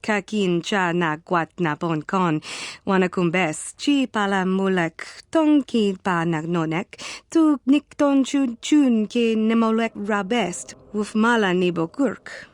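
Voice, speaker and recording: A defiant alien warrior woman acted by a woman speaks in clipped, exotic made-up syllables. Her mid-pitched voice is recorded very dry, in the manner of sci-fi video game dialogue. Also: takes it slowly, no echo, natural voice. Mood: guarded.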